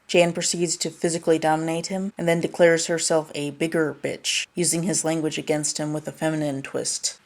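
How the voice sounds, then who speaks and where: Female essay narrator: slightly low voice, scripted, analytical delivery. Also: a little mellow. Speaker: media critic, essay narrator.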